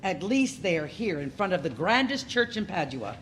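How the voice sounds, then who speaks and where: A woman's voice: on the low side, very animated, normal speed, a little hiss or hum. A woman narrating an audio play as a storyteller, wry and with dramatic emphasis.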